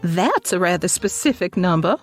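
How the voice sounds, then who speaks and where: A woman's voice: lowish voice, expressive, moderate pace, soft background music. An actress playing a genteel, nosy, faintly imperious society woman, affected and pointed in manner. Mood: pointed, suspicious.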